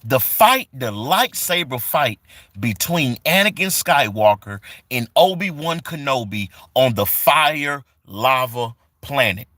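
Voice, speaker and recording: A man in his forties talks on a live stream, reading comments and greeting viewers. His voice is bright and forceful, his delivery loose and repetitive with plenty of fillers. The sound is dry and close to the mic. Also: mid-range voice, animated, takes it slowly, no echo. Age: 40s. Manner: reads chat comments aloud and greets viewers. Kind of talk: casual live stream chat.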